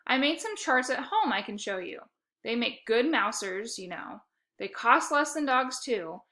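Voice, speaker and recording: A woman's voice: on the high side, sing-song, measured pace, sharp tone, voice pushed up, heavily acted. A woman voicing a bossy, whiny, competitive young girl in a children's storybook. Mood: insistent. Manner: insistent, squawking, whiny.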